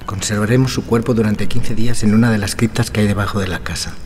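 An actor playing a troubled, morbid, reclusive aristocrat in a gothic audio drama, intense, formal and theatrical. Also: male voice, on the low side, steady tone, fast, a little mellow, soft background music, close to an everyday voice. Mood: grim resolve.

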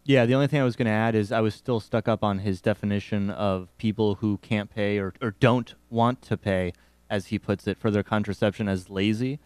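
A man's voice: lowish voice, fairly even intonation, a little fast, a little mellow, smooth, no echo. An American man giving casual political commentary as a panelist on a talk show.

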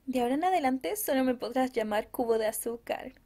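A woman's voice: high voice, animated, a little fast, mellow. A woman voicing a small female spirit, sweet and prim but bossy. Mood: coy.

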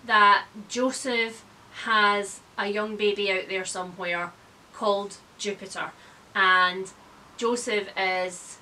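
A woman in her thirties giving an informal, animated book review, chatting indoors. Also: medium pitch, takes it slowly, a little hiss or hum, rough recording. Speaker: book vlogger. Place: indoor room with bookshelves.

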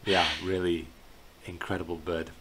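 A middle-aged man giving an unscripted slideshow narration as a tour guide, in a hesitant, halting way, recorded indoors on a computer microphone. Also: low voice, level tone. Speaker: bird tour guide presenting a virtual tour. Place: indoors in a small plain room. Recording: clear background noise.

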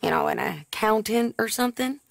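Raspy, tough frontier bounty hunter. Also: female voice, a little high, big pitch swings, fairly quick, a little hiss or hum, heavily acted. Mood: apologetic, low. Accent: rural American. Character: tough, plain-spoken gunslinging woman bounty hunter. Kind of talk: video game cutscene acting.

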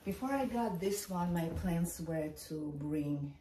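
Middle aged lady vlogging, slow halting chat, sounds far from the mic in a room. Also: lowish voice, expressive, mellow. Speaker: fashion vlogger. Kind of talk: casual vlog chat while showing items. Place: large carpeted bedroom indoors. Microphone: camera a few feet away. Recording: roomy echo, distant mic, light noise.

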